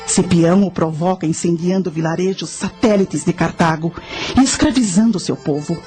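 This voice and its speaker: Actress as a measured, knowing guide in radio drama. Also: female voice, lowish voice, mellow, soft background music, close to an everyday voice. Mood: instructive. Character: knowing guide narrating ancient battles to a companion, calm, instructive.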